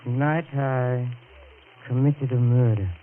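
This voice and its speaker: An actor playing a guilt-ridden, panicky murderer in 1940s radio melodrama style, halting and overwrought, repeating himself. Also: male voice, mid-range voice, steady tone, close to an everyday voice. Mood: grim confession. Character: guilt-ridden, panicky man who has committed a murder. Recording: scratchy, narrow 1940s radio recording.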